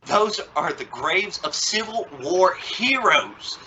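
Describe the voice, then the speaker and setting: A man's voice: high voice, very animated, takes it slowly, sharp tone, lo-fi sound, full character voice. Guy doing a flustered sarcastic dad, old radio sitcom, voice all over the place, noisy recording. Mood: exasperated, proud. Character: exasperated, sarcastic middle-aged family father, bluffing confidence.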